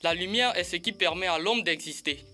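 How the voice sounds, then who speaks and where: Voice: mid-range voice, fairly quick, pushed and bright, faint music behind, natural voice. An actor playing a thoughtful, questioning young child in an educational children's cartoon dub. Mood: wondering.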